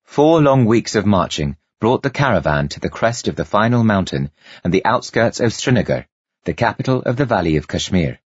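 Deep, husky male audiobook narrator. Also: very animated, brisk, no room sound, muffled recording. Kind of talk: dramatic scripted narration.

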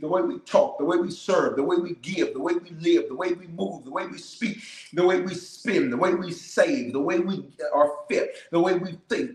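A man's voice: sits high, roomy sound. A man, a bishop, leading a livestreamed prayer with fervent, repetitive declarations and calls to the viewers. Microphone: cameras in front of him.

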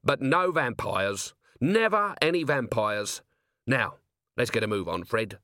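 Audiobook police commander, acted by a male narrator: gruff, curt, sardonic, quick to anger, expressive swings in pitch. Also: no room sound, studio quality. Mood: brusque.

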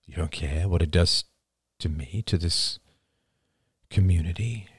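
Guy reading grown son, low voice, slow, firm but calm with his mom. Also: slightly rough, dry recording, studio quality, full character voice. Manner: earnest, measured. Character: adult son, earnest and quietly firm.

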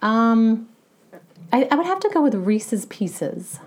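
An adult woman with a naturally loud voice, chatting casually as a podcast co-host. She talks in a playful, teasing way, joking with her partner. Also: fairly high pitch, sing-song, takes it slowly. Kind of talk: casual chat.

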